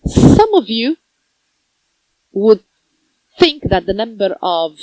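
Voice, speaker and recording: A woman giving simultaneous interpretation of a conference talk, speaking phrase by phrase with pauses and self-corrections. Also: a little high, very animated, warm tone, dry recording, low-quality recording.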